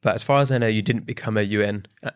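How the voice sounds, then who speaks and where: A man's voice: lowish voice, very fast, clear, resonant tone, no room sound. A man hosting a podcast, introducing his guest and asking interview questions in an upbeat way. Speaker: podcast host.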